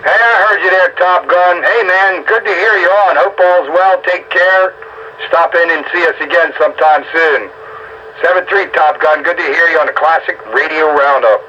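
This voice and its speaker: A man with a sharp, bright voice talks casually and informally over two-way radio. The transmission is dull and band-limited, with faint noise behind him. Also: on the high side, moderate pace. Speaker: amateur radio net participant. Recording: muffled, lightly noisy ham radio transmission.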